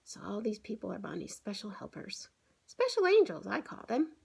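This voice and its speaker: A woman's voice: medium pitch, wildly swooping pitch, moderate pace, gravelly, breathy edge. Woman hosting a children's story time. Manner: addresses young listeners with frequent simple questions and exclamations. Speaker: children's author and online story-time host. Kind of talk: story time for children, showing and explaining a picture book.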